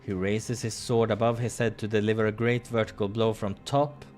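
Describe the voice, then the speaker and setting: A man reading a visual novel aloud as a playthrough host, expressive in the storytelling with casual asides. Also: lowish voice, moderate pace, faint music behind.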